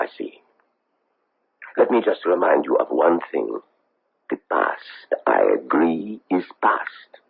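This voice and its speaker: An actor playing a solemn, insistent government investigator from abroad in a 1970s radio drama, with formal, deliberate, pleading phrasing. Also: male voice, rumbling low, vocal fry, breathy edge, heavily acted. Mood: grave, pleading. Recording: muffled tinny old radio broadcast.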